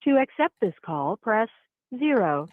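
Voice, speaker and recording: A woman's voice: mid-range voice, sing-song, unhurried. A woman's automated phone system voice reading a scripted announcement over a telephone line. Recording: tinny narrow-band telephone line.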